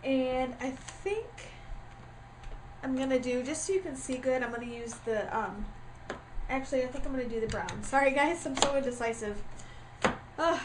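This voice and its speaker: Chatty, stuffy-voiced woman, high pitch. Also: moderate pace, long pauses, noisy background. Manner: chatty with frequent ums and uhs. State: congested with a starting cold. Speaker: craft tutorial host. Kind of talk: casual craft tutorial. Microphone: camera mic.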